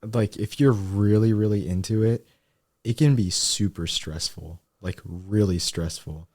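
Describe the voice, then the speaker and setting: A man talking casually on a podcast, reflective and thoughtful, often filling pauses with "like". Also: lowish voice, fairly even intonation, darkish tone, dry recording, clean studio sound. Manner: thoughtful, reflective, frequent "like" fillers.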